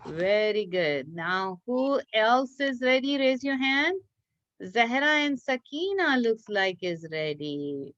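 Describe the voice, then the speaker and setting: A woman with a slightly high voice leads an online lesson for young children. She takes her time and encourages each pupil with praise. The sound is dull and lo-fi, like a video call. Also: lively intonation, slow, a bit bright, no room sound. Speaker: children's religious school teacher leading a craft class.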